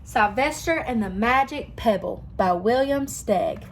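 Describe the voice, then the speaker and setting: A young woman reading a picture book aloud to children, expressive and pausing to ask them questions. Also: a little high, slight background noise, poor audio. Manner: expressive, asks listeners questions. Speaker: children's story reader.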